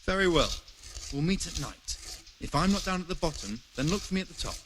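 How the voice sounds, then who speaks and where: A male actor voices a curious, decisive medieval man for a game. His voice sits fairly high and moves freely, matter-of-fact, over clear background noise. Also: animated, a little fast, natural voice. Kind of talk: video game dialogue acting.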